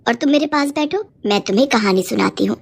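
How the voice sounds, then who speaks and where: A woman acts out a gentle queen mother in a cartoon fairy tale. She speaks in a soothing, measured way. Concern for her child sits under the words. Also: on the high side, lively intonation, gravelly. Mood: consoling. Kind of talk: Hindi moral story cartoon.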